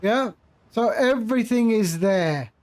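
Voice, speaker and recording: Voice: medium pitch, animated, takes it slowly, a little mellow. A flight simulator streamer giving casual live commentary, patiently explaining and repeating phrases while chatting with viewers.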